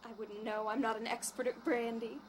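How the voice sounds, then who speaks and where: A woman acts a young female traveler in an audio drama. She is considerate, polite and anxious, with a high voice. The recording has clear noise behind her. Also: level tone, a little fast, close to an everyday voice. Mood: concerned, fussy. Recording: clearly noisy background.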